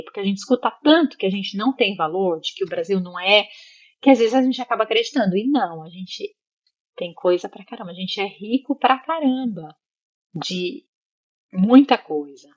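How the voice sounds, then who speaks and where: A woman's voice: mid-range voice, animated, moderate pace, lo-fi sound. A Brazilian woman speaking casually and reflectively as an interview guest, expressively slipping into imagined remarks and checking that listeners follow. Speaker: interview guest, psychologist living in Italy.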